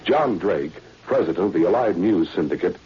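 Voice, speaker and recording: Portentous American radio narrator, deep and highly animated, 1940s serial style, dull old-broadcast sound. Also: male voice, normal speed, slight background noise. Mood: ominous, dramatic. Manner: dramatic, portentous. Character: grave, portentous male announcer of a spy serial. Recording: muffled vintage radio recording.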